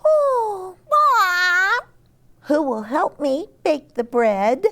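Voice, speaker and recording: A woman's voice: very high voice, expressive, drawn-out words, sharp tone, pitched above the performer's own voice, heavily acted. A woman voicing a busy, bossy little hen in a storybook, clucking, pleading and scolding with exaggerated exclamations. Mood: coaxing, resigned.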